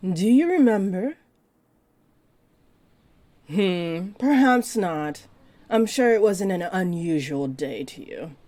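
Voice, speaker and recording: Woman voicing a part-dragon librarian, possessive and flirty, lowish mellow voice, intimate teasing delivery, audio roleplay. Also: lively intonation, slow, pauses often. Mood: fond, reminiscing. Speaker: voice actor. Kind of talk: roleplay audio drama monologue to listener.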